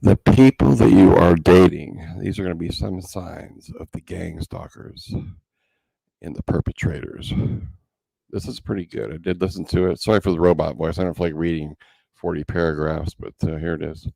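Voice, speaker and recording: A man with a gravelly, husky voice giving casual, informal live commentary as the host. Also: low voice, normal speed, dark tone, dry recording, poor audio. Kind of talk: casual live commentary on a read-aloud text.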